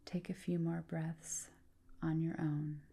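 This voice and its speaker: A woman guiding a relaxation meditation in a calm, soothing manner, speaking in deliberate phrases with pauses. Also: low-pitched, gravelly, husky. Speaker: yoga nidra guide. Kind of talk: guided relaxation meditation, scripted narration.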